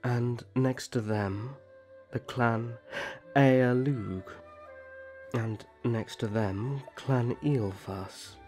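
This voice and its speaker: A man playing a gentle, courtly young elf prince in a roleplay audio drama, speaking formally and graciously. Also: medium pitch, steady tone, soft background music. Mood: gentle, wistful. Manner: formal, gracious, measured storytelling.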